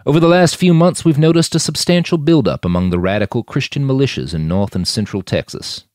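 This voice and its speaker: A man's voice: mid-range voice, lively intonation, fairly quick, darkish tone, no echo, clean studio sound, voice pushed up, close to an everyday voice. A man voicing a sly, cynical, foul-mouthed mercenary boss in audiobook dialogue, with a blunt, scoffing manner. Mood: conspiratorial.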